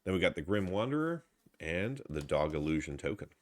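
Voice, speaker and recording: A man with a stuffy head cold casually commenting as he opens trading card packs, reading out card names with low energy. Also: very animated, fairly quick. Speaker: card box opener.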